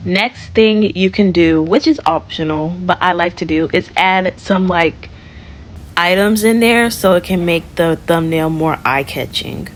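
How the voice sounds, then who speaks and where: Girl doing a casual how-to voiceover, kinda low voice, some background noise. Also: unhurried. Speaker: tutorial host. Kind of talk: casual step-by-step tutorial voice-over.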